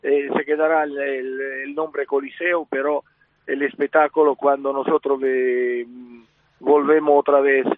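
A man's voice: medium pitch, level tone, measured pace, dry recording. A man with an Italian accent, interviewed on the radio about his circus, often repeating himself and restarting phrases. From Spain. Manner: repetitive, restarts often, promotional. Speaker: circus representative. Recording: tinny phone line.

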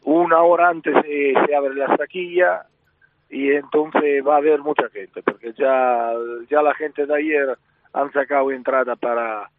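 A man's voice: fairly high pitch, fairly even intonation, a little slow, no room sound. Italian-accented guy calling into a radio show, phone-line sound, dry, keeps repeating himself. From Spain. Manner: repetitive, restarts often, promotional. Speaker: circus representative. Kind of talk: radio interview.

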